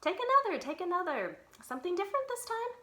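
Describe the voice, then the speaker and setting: Kindly male book lender for a storybook, read by a woman. Eager, encouraging, raised bright voice. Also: extremely high pitch, huge pitch swings, quick pace, voice pushed up, heavily acted.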